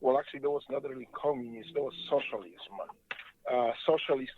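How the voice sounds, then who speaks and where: A man's voice: mid-range voice, steady tone, dry recording. Polish-accented man on a tinny phone line. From Poland. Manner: halting, earnest storytelling. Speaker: guest. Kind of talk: phone interview. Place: car on the freeway.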